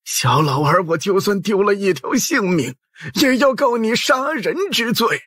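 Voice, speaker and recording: An old peasant man full of bitter grievance, played by a man reading an audiobook. His voice is high, husky and strained, and he speaks with fierce defiance. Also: expressive, a little slow, fairly bright tone, no room sound, exaggerated voice. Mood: bitter fury. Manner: fierce, impassioned. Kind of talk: audiobook character acting.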